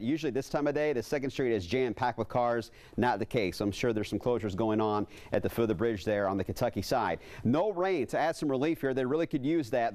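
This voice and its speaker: A man's voice: medium pitch, a little mellow. A man in his forties giving an ad-libbed live weather report from a TV studio. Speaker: TV weather forecaster. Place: TV news studio. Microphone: lapel.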